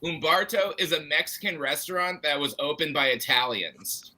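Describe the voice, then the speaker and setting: A man's voice: a little high, normal speed, crowd noise. A man chatting casually and comically as a game show contestant, on slightly noisy audio. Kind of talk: casual comedic chat.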